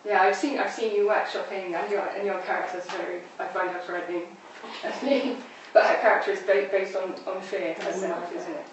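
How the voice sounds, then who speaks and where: A woman conducts an informal interview, thinking aloud as she forms her questions. Her voice sits at a middling pitch. It comes from a distance in a very echoey space. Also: fairly even intonation, moderate pace. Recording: echoey, distant live stream, faint noise.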